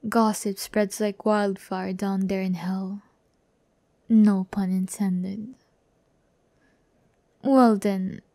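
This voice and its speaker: ASMR roleplay: vain, condescending young female demon, played by a woman, gravelly fry, close dry mic. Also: mid-range voice, warm tone, no room sound. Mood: haughty, menacing. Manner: slow, condescending, teasing, often dropping to a whisper. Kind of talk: breathy ASMR audio roleplay. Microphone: close microphone, dry sound.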